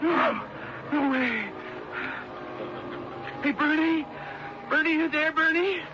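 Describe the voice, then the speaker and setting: An old, muffled radio horror broadcast buried in heavy noise. a terrified seaman, his voice pitched extremely high as he begs and stammers, consumed by guilt and coming unhinged. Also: slow pace. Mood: panicked. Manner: frantic, stammering pleas and cries. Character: terrified guilt-ridden seaman, pleading and unravelling into madness.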